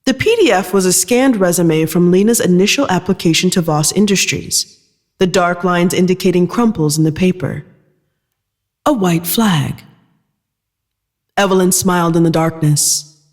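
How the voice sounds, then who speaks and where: A narrator reading scripted audio fiction in a measured storytelling style. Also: medium pitch, big-room sound.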